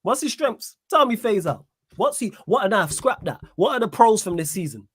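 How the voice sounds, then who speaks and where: A man runs a football call-in. He talks a little high for a man and keeps butting in to keep score of his caller's points. The sound is dry and close. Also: sing-song, moderate pace, slightly rough, no echo. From Manchester, England. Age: 30s. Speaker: host.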